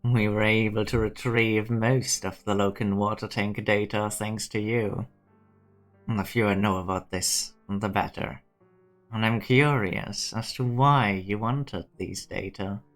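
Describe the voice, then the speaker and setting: A man playing a cold, logical, condescending scientist in a fan dub, with a measured, cynical manner. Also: fairly low pitch, fairly even intonation, fairly bright tone, soft background music. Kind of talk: dramatic fan-dub voice acting.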